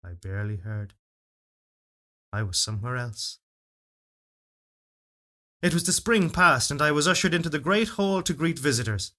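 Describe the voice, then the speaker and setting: A man reading a literary fairytale as narrator, in a solemn, measured and expressive storytelling delivery. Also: on the high side. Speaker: story reader. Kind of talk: dramatic literary narration of a first-person fairytale.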